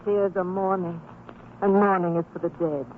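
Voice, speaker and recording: A woman's voice: fairly low pitch, steady tone, normal speed, lightly acted. An actress playing a devout, firm and hopeful wife and mother in a religious radio drama, speaking with earnest, formal exhortation. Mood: resolute, consoling. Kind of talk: old-time religious radio drama acting. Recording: tinny, band-limited old radio recording, noisy.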